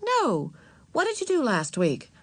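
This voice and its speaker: Woman as a high, kindly aunt. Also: wildly swooping pitch, unhurried, dry recording, exaggerated voice. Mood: interested. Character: kind, interested, understanding aunt.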